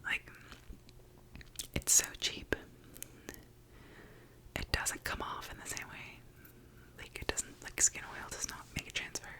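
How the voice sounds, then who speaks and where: A woman's voice: unhurried, pauses often, low-quality recording. A young woman whispering in her apartment, chatting casually as she tries out makeup, with lots of "like" and the odd swear word. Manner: whispers the whole time. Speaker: ASMR vlogger. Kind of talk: whispered ASMR makeup chat.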